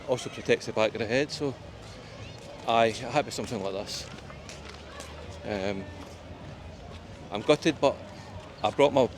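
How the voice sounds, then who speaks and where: A man with a broad Scottish accent chatting casually as he walks along a seaside promenade, rambling with plenty of ums, wee and aye. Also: fairly low pitch. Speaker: travel vlogger. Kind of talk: casual walking-tour vlog. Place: busy outdoor seaside promenade. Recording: noisy outdoor crowd with music. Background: crowd chatter and festival music.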